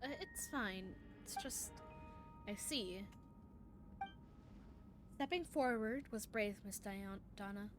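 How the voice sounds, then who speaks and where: A woman's voice: mid-range voice, lively intonation, slow, long pauses, music in the background. A woman streamer reading game dialogue aloud during a live playthrough, often stumbling over words.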